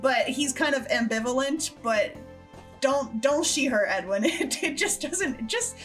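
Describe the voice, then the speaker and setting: A young woman hosting a casual group chat in an animated, jokey way, often acting out what people said. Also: high voice, a little slow. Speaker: host and writer of a fan audio drama. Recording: background music under the talk.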